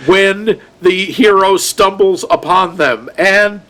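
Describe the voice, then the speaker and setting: A man's voice: high-pitched, takes it slowly, sharp tone. A man co-hosting a movie podcast, bantering playfully with puns. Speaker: podcast co-host. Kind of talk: casual movie podcast chat.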